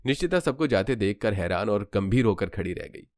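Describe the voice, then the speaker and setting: A man's voice: lowish voice, expressive, brisk, darkish tone. A man narrating a cartoon story in a scripted storytelling style.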